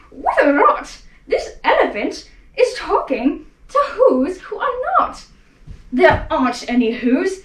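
A woman voicing a rowdy gang of jungle monkeys, loud and jeering. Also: sits high, recorded from a distance, heavily acted. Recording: roomy echo.

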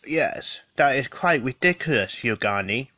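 A man's voice: mid-range voice, lively intonation, unhurried, bright, forceful tone, no room sound. A man playing a matter-of-fact guest in a sci-fi audio drama murder mystery. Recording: dull, dry lo-fi microphone.

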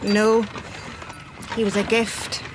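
An actress playing a gentle, wistful nun in a radio drama, soft-spoken and measured. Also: female voice, medium pitch, flat delivery, noisy background, natural voice. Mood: fond.

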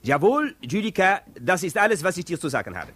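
An actor playing a bitter, reproachful lover on the telephone in a 1950s radio drama, speaking emphatically. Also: male voice, high-pitched, very animated, fairly quick, a bit bright, breathy edge, no room sound, exaggerated voice. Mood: bitter, accusing.

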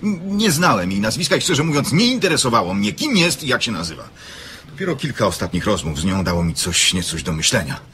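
An actor playing a polite, puzzled gentleman with a courteous, formal manner in an audio drama. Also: lowish voice, big pitch swings, brisk, slight background noise. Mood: measured explanation. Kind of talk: scripted audio drama acting.

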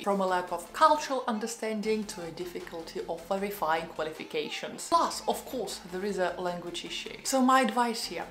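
A woman, earnestly giving advice to camera in her living room as a channel host. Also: medium pitch. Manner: earnest, animated, gesturing hands while talking. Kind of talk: direct-to-camera advice vlog. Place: living room indoors, lamp and sofa behind. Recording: roomy echo in a home room.

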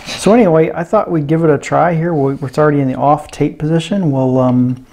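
A man's voice: fairly high pitch, a little fast, gravelly, a little mellow, a little hiss or hum. A middle-aged man giving a casual, easygoing hands-on gadget review at a desk indoors.

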